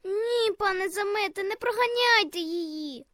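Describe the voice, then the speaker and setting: A woman's voice: very high voice, normal speed, fairly bright tone, no room sound, clean studio sound, voice pushed up. A woman voicing a tiny, fragile snowdrop flower that talks in a thin, trembling little voice, timid and polite. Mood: pleading.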